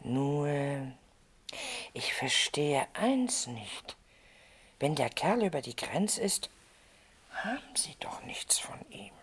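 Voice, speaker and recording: An actress in a radio drama playing an imperious, worldly older general's wife, blunt and lusty, with a husky, breathy, theatrical drawl. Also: female voice, deep voice, big pitch swings, takes it slowly, long pauses, in a whisper, exaggerated voice. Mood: scandalized, intrigued. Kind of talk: German radio drama acting.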